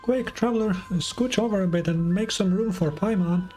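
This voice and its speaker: A man voicing a small, excitable female fairy companion in a video game, whiny, stammering and easily flustered. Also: high voice, normal speed, faint music behind, voice pushed up, lightly acted. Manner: flustered, stammering, refers to self by name.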